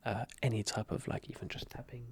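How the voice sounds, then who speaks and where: A man whose first language is French, talking softly and whispering into a close mic as an ASMR creator. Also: mid-range voice, fairly even intonation, a little fast, vocal fry, background noise. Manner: soft-spoken, drifting into whispers. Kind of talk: soft-spoken ASMR talk.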